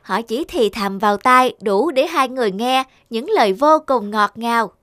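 A woman performs a small cartoon cupid with a high, childlike voice. The little pupil is wide-eyed, curious and very polite. Music plays along behind the dry, close recording. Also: expressive, normal speed, fairly bright tone, no room sound. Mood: eager, wondering. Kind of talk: cartoon dubbing.

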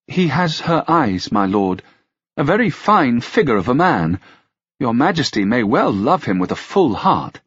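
A man voicing a flattering young noblewoman at court, with courtly, sweetly persuasive speech. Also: mid-range voice, a little slow, breathy edge, no echo, pitched above the performer's own voice. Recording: dull, dry, lo-fi sound.

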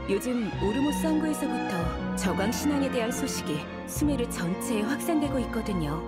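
A woman's voice: a little high, natural voice. A woman playing a troubled, considerate young woman in video game dialogue, with a polite manner. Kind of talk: Korean video game voice acting. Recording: loud music under the voice. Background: music.

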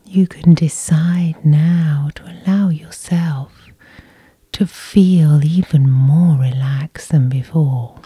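A woman guiding sleep hypnosis in a gentle, soothing whisper, close to the microphone. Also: low-pitched, fairly even intonation, slow, breathy edge, no room sound. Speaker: hypnosis guide, host. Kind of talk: whispered sleep hypnosis, ASMR guided meditation.

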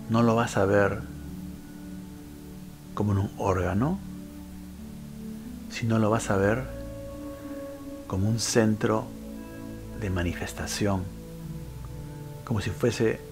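Low-pitched man guiding a slow meditation. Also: fairly even intonation. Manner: unhurried instructional delivery with frequent pauses. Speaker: meditation guide. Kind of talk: guided meditation. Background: background music.

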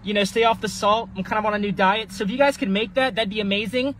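A man's voice: high voice, fairly even intonation, fast, fairly bright tone. A man speaking casually and politely as a drive-thru customer, a little hesitant. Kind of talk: casual prank ordering. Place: inside a car outdoors. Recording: noisy car at drive-thru.

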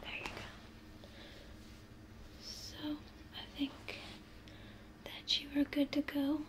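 A young woman whispers her way through an ASMR role play in a small room. Her delivery is very slow and evenly pitched, with little rise or fall. She is gentle and reassuring, speaking close to the mic. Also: monotone. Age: young adult.

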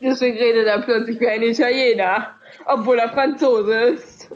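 Young woman co-host, high pitched, laughing and teasing, slightly dull recording with music. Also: steady tone, moderate pace. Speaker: let's play co-host. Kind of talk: casual commentary and banter.

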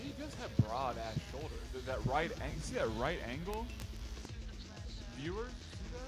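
A man's voice: on the high side, sing-song, measured pace. A man co-hosting a live show with casual banter. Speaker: co-host. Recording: loud music behind chatter. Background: music.

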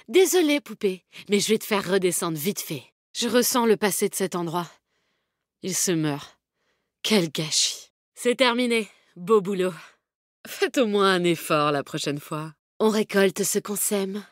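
A woman's voice: mid-range voice, no echo, studio quality. An actress playing a tough, confident, protective woman fighter in a video game, with a bold, cheeky, commanding manner. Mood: cheeky, friendly. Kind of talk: video game character voice lines, combat callouts.

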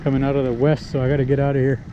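A young man narrating a fishing vlog from a small boat on a lake, chatty and relaxed, thinking aloud with fillers. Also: medium pitch, rapid-fire, muffled recording. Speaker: fishing vlogger. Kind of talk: casual outdoor vlog narration. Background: outboard motor and water noise.

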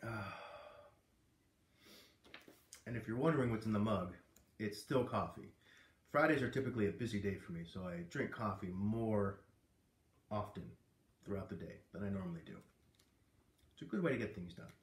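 A man's voice: fairly low pitch, a little fast, long pauses. A man in his thirties reading a storybook aloud casually in a living room, recorded by a camera a few feet away. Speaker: read-aloud host. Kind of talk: casual storybook read-aloud with chatty asides. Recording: roomy, echoey home recording.

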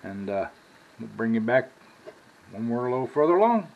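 A man's voice: medium pitch, big pitch swings, highs cut off. An American man in his sixties with a folksy manner, casually talking through a cooking demonstration as a home cook. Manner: folksy, drawn-out asides with y'all. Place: home kitchen, indoors at the stove. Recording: clearly noisy home kitchen recording.

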